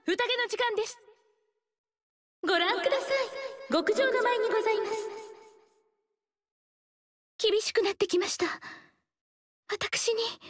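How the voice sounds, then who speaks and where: A woman voicing a graceful, humble noble dancer in a video game, speaking softly and politely in elegant formal speech. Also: very high-pitched, no room sound, exaggerated voice. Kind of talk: game character voice lines.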